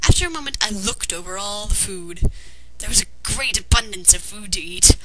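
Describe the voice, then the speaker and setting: A woman's voice: medium pitch, expressive, moderate pace. A woman reading a fan fiction story aloud as narrator, in a dramatized way. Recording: noisy amateur home recording.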